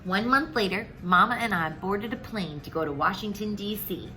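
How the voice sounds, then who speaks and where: Bright-voiced woman reading a children's story. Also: mid-range voice, normal speed, a little hiss or hum, poor audio. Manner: animated, acts out the dialogue. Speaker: teacher reading a picture book aloud. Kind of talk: expressive children's story read-aloud.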